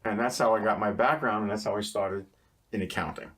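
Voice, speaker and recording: A man's voice: fairly low pitch, steady tone, fairly quick, a bit bright. A middle-aged man from New York with a New York accent, chatting as an interview guest and cracking playful jokes to the audience. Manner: joking, playful asides to an imagined audience. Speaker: guest, accountant. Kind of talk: casual interview chat.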